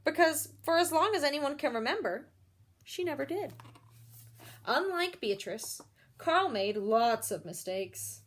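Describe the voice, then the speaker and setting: A woman's voice: sits high, a little slow. A woman reading a picture book aloud to children, expressively, with little asides and questions to the young listeners. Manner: expressive, explains feelings and puts questions to children.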